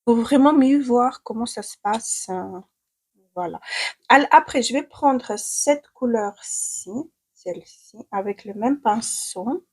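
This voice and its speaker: A woman's voice: fairly high pitch, slow, slightly rough. A young woman from Ukraine who speaks French with a Ukrainian accent, chatting through a makeup tutorial with hesitant fillers and restarts. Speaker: beauty vlogger.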